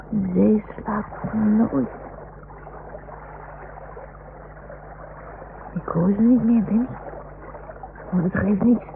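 Small earnest boy, radio play. Slow, creaky, childlike delivery; noisy, narrow-band vintage recording. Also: mid-range voice. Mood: dreamy, trusting. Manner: childlike, slow, simple phrasing. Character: small earnest boy talking to his toy dog. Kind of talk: 1960s Dutch radio drama acting.

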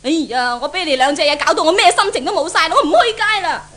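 A woman's voice: extremely high pitch, lively intonation, fast, bright, forceful tone, exaggerated voice. A woman acting a spoiled, tyrannical young mistress with a foul temper in a 1970s Cantonese radio drama, scolding haughtily in a Hong Kong Cantonese accent. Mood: haughty, threatening. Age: young adult.

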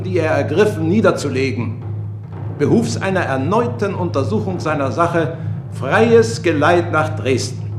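An actor playing a stately, deliberate prince-elector in a radio drama, speaking in a ceremonious, measured manner. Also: male voice, a little high. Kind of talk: German radio play acting. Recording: echoing room under music.

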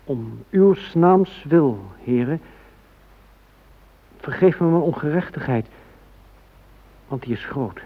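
A man acting a devout psalm reader in a radio play, reciting in a measured, reverent, declamatory way. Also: on the high side, animated, full character voice. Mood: solemn, reverent. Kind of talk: solemn scripture recitation in radio drama. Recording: thin, phone-like old radio recording.

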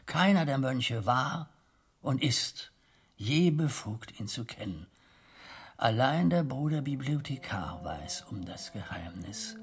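Husky, solemn old abbot. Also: male voice, medium pitch, very animated, full character voice. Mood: solemn, guarded. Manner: solemn, formal, rhetorical, measured. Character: stern, pious old abbot of a medieval abbey. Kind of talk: 1980s German radio drama acting.

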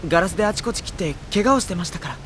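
An actor playing a level-headed, politely exasperated teenage schoolboy in an audio drama, both in dialogue and introspective narration. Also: male voice, high voice, lively intonation, rapid-fire, noisy background. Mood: calm, cautious. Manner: polite speech to his senior, dry retorts. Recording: loud background music under the voice. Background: music.

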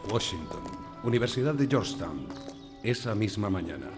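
A man narrating scene settings for a radio drama in a measured, solemn announcing manner. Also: lowish voice, very animated. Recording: music behind the voice.

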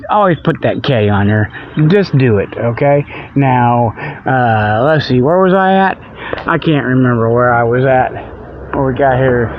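A middle-aged American man hosting a comic show, rambling off the cuff in a folksy, repetitive, colloquial way. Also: a little high. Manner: folksy, colloquial, repeats himself, loses his thread. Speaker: host of a comedic radio-style show. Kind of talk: rambling, improvised comic monologue. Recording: dull lo-fi radio-like sound.

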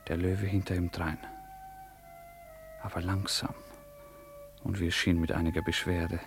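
Slow, gravelly male radio-play narrator. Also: low voice, little pitch movement, over music. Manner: measured, solemn storytelling with formal literary diction. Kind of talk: scripted literary narration for a German radio play.

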